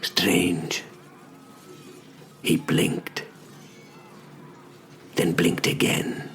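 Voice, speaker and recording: Deep, gravelly male storyteller, very slow. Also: flat delivery, fairly bright tone, soft background music. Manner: measured, broken into short phrases. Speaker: narrator. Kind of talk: first-person dramatic scripted narration.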